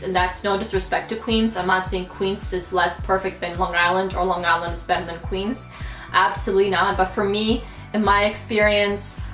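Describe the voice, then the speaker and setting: A young woman talks playfully to her camera, with lots of ums along the way. Her voice sits in the middle of the range, and her room echoes. Soft music plays faintly behind her. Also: mid-range voice. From United States. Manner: chatty and playful, with many fillers. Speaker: YouTuber. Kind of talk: casual talking to camera.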